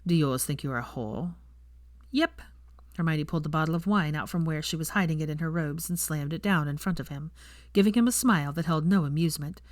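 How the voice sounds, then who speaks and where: A woman's voice: sits low, fairly even intonation, fast, dry recording, clean studio sound. A woman reading fiction aloud as a narrator, in a scripted audiobook style.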